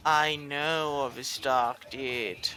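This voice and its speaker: A man's voice: mid-range voice, fairly even intonation, very slow, bright, forceful tone, rough recording. A man streaming a video game, commenting playfully and reading his character's lines in a theatrical way. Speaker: gaming streamer. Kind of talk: let's play commentary. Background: game music.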